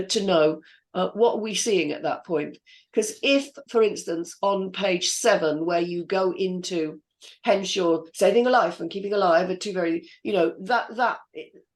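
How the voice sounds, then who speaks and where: A woman in the host's seat, talking through notes on a script at a mid pitch. She thinks aloud, hesitating and circling back with plenty of ums. Her tone is conversational and constructive. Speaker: screenwriter and panel host giving script notes. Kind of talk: casual feedback discussion.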